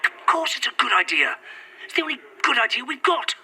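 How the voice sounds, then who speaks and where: A sardonic, irritable man on the run in a horror audio drama. His high voice swings dramatically in pitch, with a husky edge and sarcastic, clipped delivery. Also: very animated, a little fast, bright, forceful tone, heavily acted. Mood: dry, insistent. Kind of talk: found-footage horror audio drama acting.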